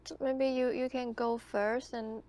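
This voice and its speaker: A woman's voice: fairly high pitch, level tone, measured pace, a little mellow, slight background noise. A woman playing a tabletop game, chatting casually in a tentative, questioning manner.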